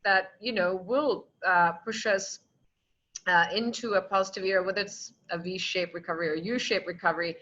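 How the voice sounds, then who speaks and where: A woman from Singapore, a company CEO, speaking on a video call panel in an animated, reasoned way. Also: mid-range voice, moderate pace. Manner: animated, reasoned, laughs often. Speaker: company CEO, association president. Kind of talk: virtual panel discussion. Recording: dull lo-fi video call audio.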